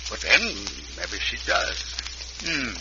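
A man playing a gruff, suspicious homesteader with an American accent and curt folksy speech in an old radio western. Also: fairly low pitch, sing-song, takes it slowly, highs cut off, heavily acted. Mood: wary. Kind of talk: 1950s radio western acting. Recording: noisy, band-limited old radio recording.

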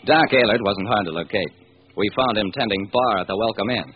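A man's voice: on the low side, fairly quick, close to an everyday voice. An actor playing a driven, wry police detective who narrates his case in hard-boiled radio drama style. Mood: wry, determined. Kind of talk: old-time radio crime drama narration. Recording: dull, noisy vintage radio recording.

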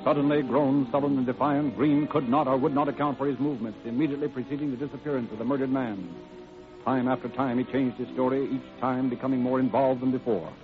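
Brisk radio crime narrator man, music behind. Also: mid-range voice, fairly even intonation, close to an everyday voice. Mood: grave. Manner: formal, dramatic. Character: grave, formal narrator of a true-crime radio dramatization. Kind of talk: scripted narration, old-time radio. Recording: tinny vintage radio recording.

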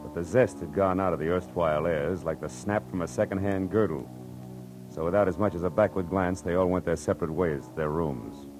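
An actor with an American accent playing a wry, tough private eye in slangy 1940s radio style. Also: male voice, low-pitched, fairly quick, close to an everyday voice. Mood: wry narration. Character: wry, hard-boiled male private eye. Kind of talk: 1940s radio acting, first-person narration. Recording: old radio drama with music.

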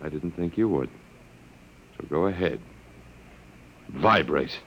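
An actor playing a sardonic, stubborn human prisoner with a dry wit in an old radio drama. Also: male voice, very deep voice, lively intonation, slow, fairly bright tone, breathy edge, exaggerated voice, low-quality recording. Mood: stubborn, defiant. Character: wry, resigned, stubborn human prisoner of aliens. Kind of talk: 1950s radio drama acting.

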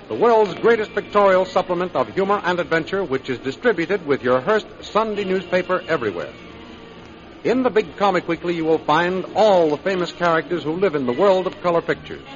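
High-pitched 1930s radio announcer man. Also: a bit bright. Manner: emphatic, theatrical. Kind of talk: 1930s radio serial narration and promotion. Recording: muffled old radio recording. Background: music.

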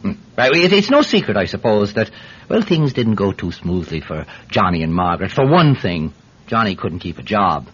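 A man's voice: on the low side, sing-song, moderate pace, fairly bright tone, breathy edge, full character voice. A rambling, stammering Irish countryman on muffled old radio. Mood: flustered, earnest. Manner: hesitant, stammering, digressive storytelling. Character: rambling, eager Irish countryman, a peat seller testifying in court. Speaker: actor playing a witness at a court hearing. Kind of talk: classic radio drama acting. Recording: muffled, hissy vintage radio recording.

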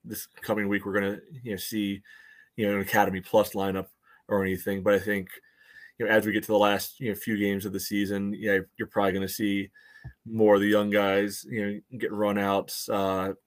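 A man's voice: on the low side, completely flat delivery, fairly quick. A man co-hosting a sports fan podcast, chatting casually in a wry, frank, hesitant way. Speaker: co-host of a soccer fan podcast.

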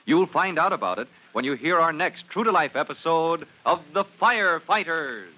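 A man's voice: fairly high pitch, expressive, normal speed, background noise. A man announcing an old-time radio serial with polished, dramatic scripted narration. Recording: dull old radio recording.

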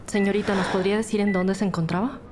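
An actress playing a determined police detective in an audio drama, speaking in a businesslike, direct way. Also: female voice, medium pitch, steady tone, fast, noisy background, natural voice. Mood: serious, determined.

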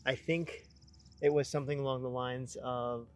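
A man's voice: medium pitch, flat delivery, moderate pace. A man talking casually outdoors during a ghost hunt. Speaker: paranormal investigator. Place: outdoors in a park.

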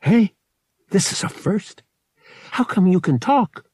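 A man's voice: a little high, animated, unhurried, creaky, darkish tone, breathy edge, no echo, clean studio sound, pitched above the performer's own voice, heavily acted. A man playing a brash, impatient young smuggler pilot in an audiobook, with a blunt, slangy way of talking. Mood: surprised, pleased.

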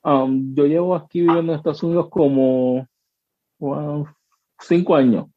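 A man from Puerto Rico with a Puerto Rican accent, calmly telling a personal story in a casual panel chat. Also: a little high, moderate pace, no echo. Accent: Puerto Rican Spanish. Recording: dull video call audio.

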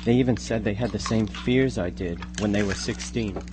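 A man's voice: on the low side, normal speed, close to an everyday voice. A man playing an anxious, questioning sixteen-year-old small-town boy in a live radio drama. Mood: worried, questioning. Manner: worried, questioning. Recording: hum and loud background noise.

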